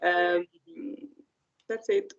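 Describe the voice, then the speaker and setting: A speaker from Tunisia whose first language is Arabic, a guest on a video call. He talks hesitantly, with many "uh" pauses. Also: sits high, fairly even intonation, dull audio.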